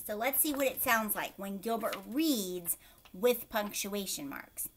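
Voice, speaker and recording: A woman teacher reading a picture book aloud to children, expressively, pausing to explain and ask them questions. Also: mid-range voice, slight background noise. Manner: expressive, asks young listeners questions.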